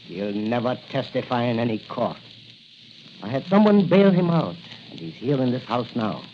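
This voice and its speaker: A man's voice: mid-range voice, sing-song, normal speed, close to an everyday voice. A man playing a cold-blooded crooked financier, menacing and mock-polite in 1940s radio acting style. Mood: cold, gloating. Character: smooth-talking, cold-blooded male crooked financier and murderer. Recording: crackly, muffled 1940 radio recording.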